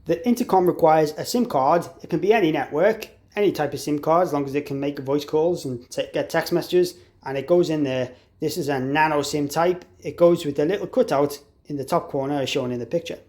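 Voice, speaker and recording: A man with a slightly higher-than-average voice walks through a hands-on installation tutorial. He explains in an informal, practical way, like an installer showing a product. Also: fairly quick, hardly any pauses, a little mellow. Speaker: installer demonstrating an intercom product.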